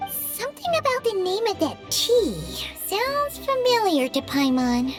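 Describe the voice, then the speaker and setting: A woman voicing a tiny, childlike, excitable fairy companion in a video game, squeaky and full of exclamations. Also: measured pace, heavily acted. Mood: curious. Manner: exclaiming, speaks of herself in third person. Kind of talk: video game character acting. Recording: loud music behind the voice.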